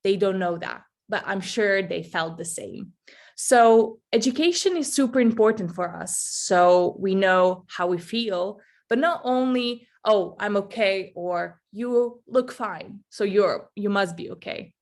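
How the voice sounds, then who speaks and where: A woman's voice: medium pitch, unhurried. A nineteen-year-old woman from Serbia, giving an informal personal talk as a youth advocate in a conversational, self-correcting way. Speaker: youth advocate and student speaker.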